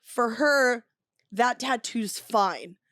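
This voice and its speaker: A young woman co-hosting a podcast, telling animated stories and laughing often in casual chat. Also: a little high, drawn-out words, no echo, clean studio sound. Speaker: podcast co-host.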